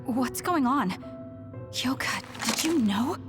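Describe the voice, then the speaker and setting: An actress playing a guarded, blunt young woman soldier haunted by her memories, in a breathy voice for a video game dub. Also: fairly high pitch, lively intonation, slow pace, loud music behind the voice. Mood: alarmed.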